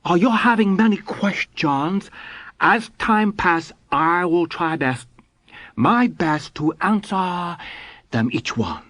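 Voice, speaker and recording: A man's voice: high-pitched, expressive, slow, fairly bright tone, dry recording, pitched above the performer's own voice, heavily acted. A man voicing an older scholar who lectures in broken English. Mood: cheerful. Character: shy, smiling older male Japanese Zen scholar. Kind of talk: audiobook character voice.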